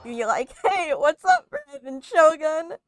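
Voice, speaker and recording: A woman's voice: high voice, huge pitch swings, slow pace, noisy background. A woman giving casual, playful, slangy commentary while playing a video game. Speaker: gamer doing a let's play. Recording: game music behind the voice.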